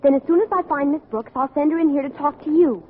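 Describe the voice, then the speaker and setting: A woman's voice: sits high, quick pace, close to an everyday voice. An actress playing an earnest, chatty, meddling teenage schoolgirl in a vintage radio sitcom. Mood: bossy, scheming. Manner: gushing, earnest, tactlessly frank. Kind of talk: 1940s-50s radio sitcom acting. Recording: narrow vintage radio recording, audience, hiss.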